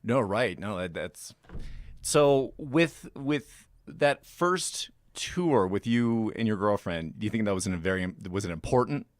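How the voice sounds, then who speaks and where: Low, dry-sounding male radio interviewer. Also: lively intonation, unhurried, no echo. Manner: loose, rambling questions with repeated starts. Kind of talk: casual interview.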